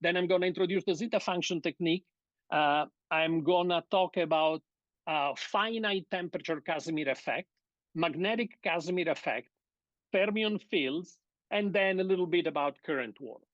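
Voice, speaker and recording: A man's voice: fairly high pitch, a bit bright, no echo. Middle-aged Italian man, guest lecturer, hesitant academic delivery, muffled dry call audio. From Sardinia, Italy. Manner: measured, hesitant, many fillers and restarts. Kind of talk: academic seminar talk. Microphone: video call.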